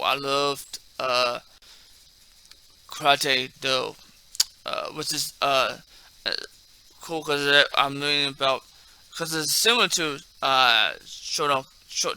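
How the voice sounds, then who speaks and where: A man hosting a martial arts video in a casual, halting way, repeating and stumbling over words, with a slight speech impairment. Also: mid-range voice, sharp tone, clean tone. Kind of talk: casual talk mixed with halting reading aloud from a text.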